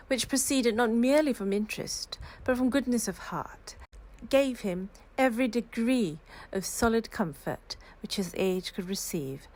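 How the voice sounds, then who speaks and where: A woman reading classic literary fiction aloud in a calm, cozy storytelling style. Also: on the high side. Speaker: reader of classic novels aloud.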